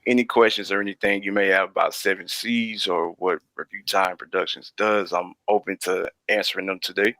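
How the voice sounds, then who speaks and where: Man, very deep voice, crisp forward brightness, dry close sound, casual interview guest, rambling explanations. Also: steady tone, a little fast, hardly any pauses, dry recording. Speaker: interview guest, audio drama creator.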